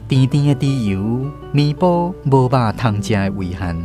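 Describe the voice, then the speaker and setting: A man narrates a storybook radio drama with a Taiwanese accent. His mid-pitched voice moves with lively intonation over clearly audible music. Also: measured pace.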